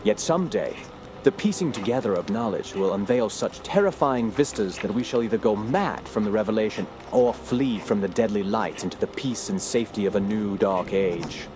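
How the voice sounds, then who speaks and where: An actor playing a grave, brooding gentleman scholar who narrates a horror audio drama in a formal, measured, ominous way. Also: lowish voice, natural voice, low-quality recording. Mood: grave, foreboding.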